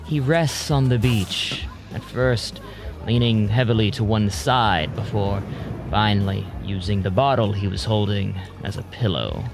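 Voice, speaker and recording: Slow, low-voiced male dramatic narrator. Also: creaky. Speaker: narrator and storyteller. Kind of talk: dramatic scripted narration, present tense. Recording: loud music bed under voice.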